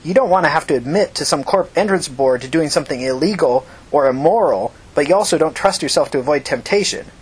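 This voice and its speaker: A man's voice: on the high side, animated, fast talker, few pauses, slightly rough. A man reading the part of a tough, angry, foul-mouthed woman who questions people bluntly like an amateur detective in an audiobook. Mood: angry, accusing. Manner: blunt, pressing, confrontational.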